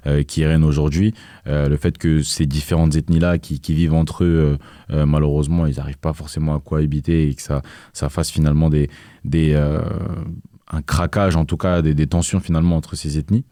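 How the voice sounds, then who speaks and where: Young male guest, very low flat voice, dark tone, halting delivery with lots of fillers. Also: no room sound, studio quality. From France. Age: young adult. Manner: hesitant, many fillers and restarts. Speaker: guest, activist. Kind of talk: casual interview conversation.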